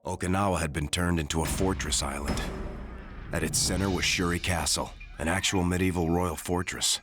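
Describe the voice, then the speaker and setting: Very deep, grave marine narrator played by an actor. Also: male voice, fairly even intonation, close to an everyday voice. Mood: grave, reflective. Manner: measured, grave. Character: sombre American marine recalling the Pacific war. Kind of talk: scripted cinematic war narration. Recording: prominent music under the narration.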